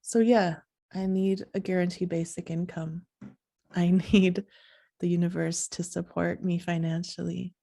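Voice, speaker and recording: Woman w a sleepy gravelly voice, mellow, flat, musing slowly on her podcast. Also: lowish voice. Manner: slow, halting, breathy and soft-spoken. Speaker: podcast host. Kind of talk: soft reflective monologue.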